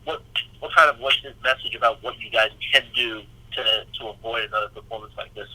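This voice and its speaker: A male sports reporter with a mid-pitched, very bright and forceful voice asks a halting press question. The sound is a tinny phone line. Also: steady tone.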